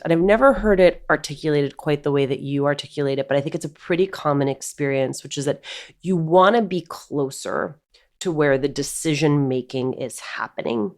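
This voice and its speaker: A woman's voice: sits low, a little mellow. A woman hosting a podcast, reading a scripted intro and then asking probing questions. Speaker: podcast host. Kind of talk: podcast interview.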